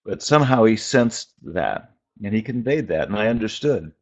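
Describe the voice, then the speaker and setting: A man giving an informal, reflective sermon in short, halting phrases with long pauses between them. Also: fairly low pitch, animated. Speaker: preacher and spiritual teacher. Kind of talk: informal prophetic sermon on a conference call. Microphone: phone conference call. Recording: dull, band-limited conference call audio.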